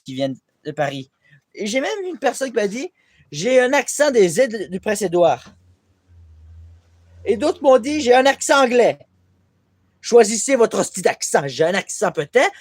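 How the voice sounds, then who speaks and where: A young man from Quebec rambles through a casual vlog into his tablet's built-in mic. He drifts from one gripe to the next, swearing freely and running himself down. He sounds loose and unscripted throughout. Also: high-pitched. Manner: rambling, swears a lot, self-deprecating. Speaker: vlogger. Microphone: tablet camera mic.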